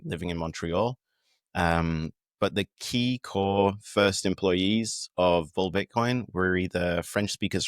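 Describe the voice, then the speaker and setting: A British man with a very deep, low voice talks through his ideas. He speaks reflectively, with an easy manner and occasional fillers. The sound is dry and close to the mic. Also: dry recording. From United Kingdom. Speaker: podcast guest. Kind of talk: casual conversation.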